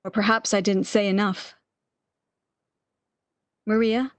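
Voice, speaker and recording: A woman's voice: fairly high pitch, no room sound, natural voice. A woman acting in a radio play as an anxious, regretful, bedridden sick woman with a fretful, pleading manner.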